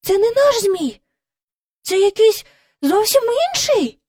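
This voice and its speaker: A woman plays an excitable young girl from a storybook, her voice pitched very high and swooping. She gasps and exclaims, short of breath with feeling, a little away from the microphone. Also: slow pace, no room sound, studio quality, distant mic, heavily acted. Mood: startled. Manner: gasping, exclaiming.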